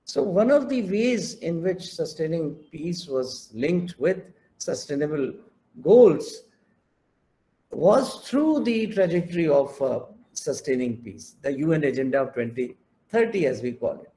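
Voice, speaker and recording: Older indian prof lecturing on a video call, high voice, emphatic, slips into hindi a lot. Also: male voice, lively intonation, measured pace, mellow, rough recording. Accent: Indian English. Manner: emphatic, switches between English and Hindi. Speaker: professor giving a keynote lecture. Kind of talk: online academic lecture. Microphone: online video call.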